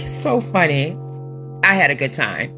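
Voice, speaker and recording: Low-voiced woman chatting over guitar music. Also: sing-song, measured pace. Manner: chatty, amused, laughs between remarks. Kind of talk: casual storytelling. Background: music playing.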